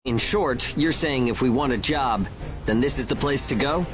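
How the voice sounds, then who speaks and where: A man's voice: mid-range voice, moderate pace, lightly acted. An actor playing a cocky, pragmatic young male mercenary in a video game, talking in a casual, blunt, self-assured way. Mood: curious. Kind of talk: video game cutscene acting. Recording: game music behind the voice.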